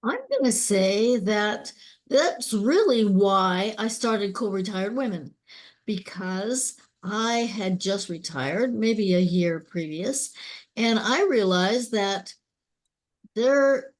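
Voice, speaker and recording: A woman's voice: mid-range voice, animated, slow. An older woman, founder and host of an online group, talking through a casual discussion in a reflective, personal way.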